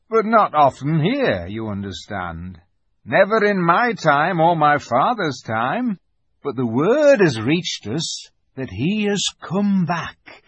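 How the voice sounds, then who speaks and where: A man voicing a grave, kindly old rustic beaver in a storybook, speaking slowly and emphatically in a folksy, knowing way. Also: a little high, breathy edge, dry recording, dull audio, voice pushed up, heavily acted. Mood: awed. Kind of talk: dramatized storybook reading.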